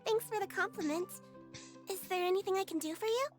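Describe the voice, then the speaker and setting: A woman's voice: brisk, soft background music. A woman voicing a small, innocent creature girl in an anime game, childlike, naive and cheerful. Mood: cheerful greeting. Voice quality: high, childlike.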